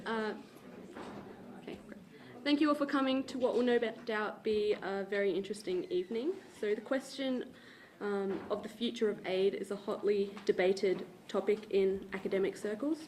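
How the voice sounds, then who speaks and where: A woman's voice: mid-range voice, normal speed. A woman moderating a university panel event, welcoming the audience and introducing the speakers in a friendly way, stumbling over a word now and then. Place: university lecture room.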